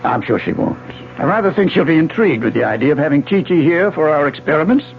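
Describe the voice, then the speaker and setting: Radio drama role: grave older academic, higher-pitched and formal, measured delivery, dull vintage broadcast sound. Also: male voice, lively intonation. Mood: troubled, earnest. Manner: formal, measured, deliberate diction. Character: grave, wistful retired physics professor, older married man. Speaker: narrator and lead actor. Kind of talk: 1970s radio drama acting. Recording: dull 1970s radio recording, faint music.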